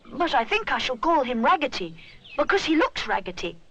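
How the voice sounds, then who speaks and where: A woman's voice: high voice, big pitch swings, fairly quick, fairly bright tone, slight background noise, pitched above the performer's own voice. A woman voicing a kind, eager young boy who is a bear, speaking politely.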